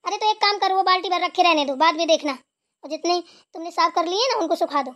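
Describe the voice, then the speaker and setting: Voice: very high voice, fairly quick, sharp tone. A performer voicing an aching old village mother-in-law in a Hindi cartoon, bossy but affectionate. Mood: instructing, relieved. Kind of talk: Hindi family cartoon dialogue.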